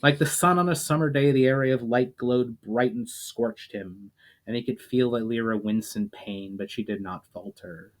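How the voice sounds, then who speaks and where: A man reading his own fantasy story aloud in a steady, even narration. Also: mid-range voice, normal speed.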